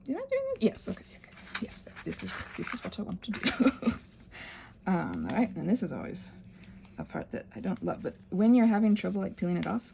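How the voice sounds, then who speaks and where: Animated woman rambling through a craft tutorial. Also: mid-range voice, a little fast, creaky, a little hiss or hum. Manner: chatty, self-interrupting, thinks aloud, playfully self-soothing. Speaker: craft and ASMR channel creator, host.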